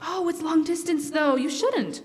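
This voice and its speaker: A woman's voice: high-pitched, measured pace, darkish tone. A woman playing an indulgent but firm housewife mother in a classic-style radio drama performed on stage. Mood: chatty. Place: on stage in a school hall. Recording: echoey hall, live stage performance.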